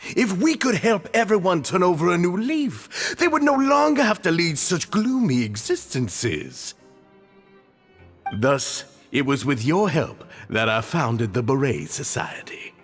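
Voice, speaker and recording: A man's voice: on the high side, big pitch swings, unhurried, soft background music. An actor playing an earnest, deferential male club leader in a video game, courteous and eager in manner. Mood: honored, eager.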